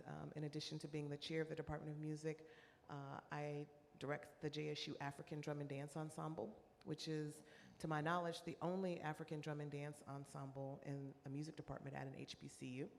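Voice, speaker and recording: A woman from Mississippi, a music department chair, introducing herself on a panel in a measured way with frequent ums. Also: deep voice, flat delivery, vocal fry, a little hiss or hum. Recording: reverberant hall with faint ambience.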